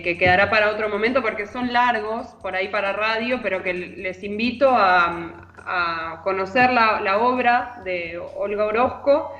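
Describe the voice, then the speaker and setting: A woman's voice: mid-range voice, a bit bright. A woman with a Rioplatense Argentine accent, talking conversationally as a literary columnist on a radio show. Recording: roomy echo, music underneath.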